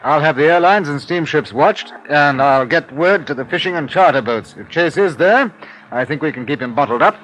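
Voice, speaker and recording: An actor playing an affable, understated British colonial police inspector in 1950s radio drama style, with courteous clipped idioms. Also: male voice, on the high side, expressive, normal speed, lightly acted. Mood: businesslike. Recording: noisy old radio broadcast recording.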